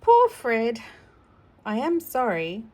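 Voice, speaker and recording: A woman reading a children's storybook aloud in an informal, gentle, conversational way, adding personal asides for a child. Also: a little high, wildly swooping pitch, slow pace. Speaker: storybook reader.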